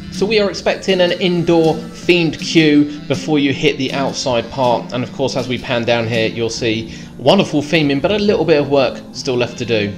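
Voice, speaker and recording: A British man giving a measured, descriptive voice-over tour as a theme park vlogger. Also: on the high side. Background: clear background music.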